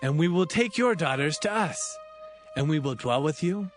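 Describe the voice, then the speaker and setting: A proud, indignant brother played by a man declaims in an audio Bible drama. The voice is high, emphatic and very animated, over faint music. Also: moderate pace, close to an everyday voice. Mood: firm bargaining. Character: indignant, proud son of Jacob.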